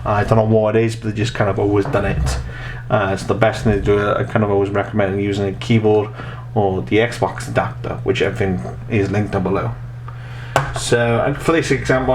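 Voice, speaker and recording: Young male tutorial host, low voice, rambling casual explanations, recorded at home with some background noise. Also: fairly even intonation, fairly quick. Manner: rambling, repeats and restarts phrases. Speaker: host of a tech tutorial channel. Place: small room at home, indoors.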